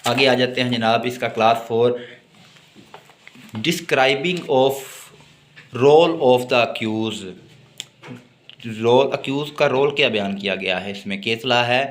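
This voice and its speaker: A man with a Pakistani accent delivers a lecture at a slow pace. He reads from notes word by word, in a halting way, and explains them as a teacher would. His voice sits at an average pitch for a man. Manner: halting, word-by-word when reading aloud. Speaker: law lecturer.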